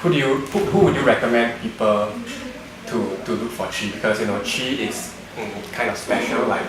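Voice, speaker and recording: A man's voice: on the low side, moderate pace, a crowd in the background. A man interviewing a client, asking questions hesitantly with many fillers. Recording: echoey room from far, with background noise.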